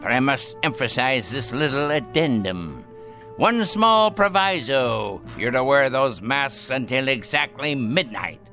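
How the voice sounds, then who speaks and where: Slow, sardonic dying old grandfather. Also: mid-range voice, animated, bright, forceful tone, soft background music. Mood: bitter, commanding. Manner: theatrical, mocking, drawn-out delivery. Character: dying rich grandfather, bitter, sardonic, domineering old man. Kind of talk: radio drama acting.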